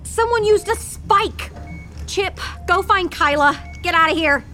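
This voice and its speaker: A woman's voice: very high voice, a little slow. An actress playing an embattled, guarded and insistent female commander of a Mars colony in an audio drama. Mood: alarmed, urgent.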